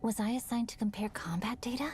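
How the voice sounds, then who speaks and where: A woman's voice: on the high side, fast, darkish tone, a little hiss or hum, natural voice. A woman playing a cool, formal young swordswoman in a game cutscene, stoic and clipped. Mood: composed, deadpan. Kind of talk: scripted game cutscene acting. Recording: music behind the voice.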